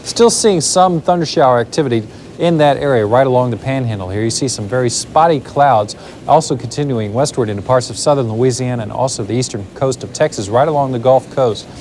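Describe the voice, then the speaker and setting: Male TV weather presenter, fast and loose, faint tape hiss. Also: medium pitch, a little mellow. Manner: brisk, loose delivery with fillers. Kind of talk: live TV weather forecast, ad-libbed.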